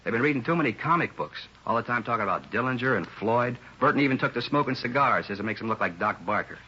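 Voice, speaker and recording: A man's voice: mid-range voice, animated, quick pace, pushed and bright. A man playing a weary, talkative small-time crook in a 1950s radio police drama, with slangy streetwise talk. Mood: slangy, forthcoming. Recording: dull, noisy 1950s radio recording.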